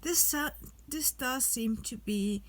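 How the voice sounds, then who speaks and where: A woman's voice: high-pitched, takes it slowly. Chatty young woman. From Estonia. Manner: chatty, enthusiastic, repeats "really". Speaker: vlogger. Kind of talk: casual vlog voice-over.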